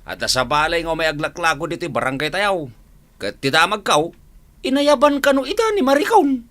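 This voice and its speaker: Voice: medium pitch, very animated, normal speed, fairly bright tone, dry recording. A performer with an Ilocano Filipino accent playing a grumbling village husband who sells fish, in a radio comedy drama. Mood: lamenting.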